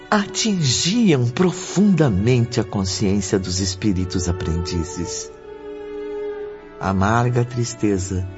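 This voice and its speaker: An actor playing the grave narrator of a spiritist radio drama, reading scripted narration with a Brazilian accent. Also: male voice, lowish voice, a little mellow. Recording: muffled audio with faint music.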